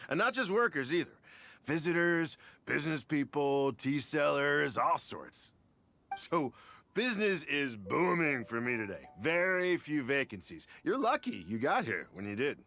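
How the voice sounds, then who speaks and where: A man's voice: medium pitch, gravelly, bright, forceful tone, a little hiss or hum, full character voice. A man voicing a cheery, chatty, welcoming innkeeper in a video game, upbeat and eager with his guests. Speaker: hotel keeper.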